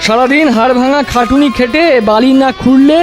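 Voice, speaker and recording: A man's voice: extremely high pitch, brisk, soft background music. A man voicing a blunt spirit attendant to a king in a cartoon, frank and proclaiming. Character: blunt male ghostly gandharva attendant serving a king. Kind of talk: cartoon voice acting.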